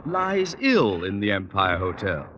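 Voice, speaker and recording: A blustering middle-aged principal in a radio sitcom. He is pompous and sarcastic, with florid phrasing, a bright forceful tone and big swings in pitch. The old recording has faint noise. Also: big pitch swings, unhurried, dull audio. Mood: sly sarcasm. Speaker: comedy actor.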